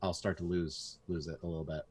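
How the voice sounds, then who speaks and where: A man with a deep voice talks as a panelist on podcasting. His delivery is casual and low-key, and his phrases tend to trail away. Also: flat delivery, quick pace, a little mellow, husky.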